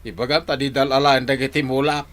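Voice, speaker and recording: An actor playing a stubborn, quick-tempered old father in a radio drama, grumbling and groaning with an Ilocano accent. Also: mid-range voice, level tone, a bit bright, lightly acted. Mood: whining, pained. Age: older.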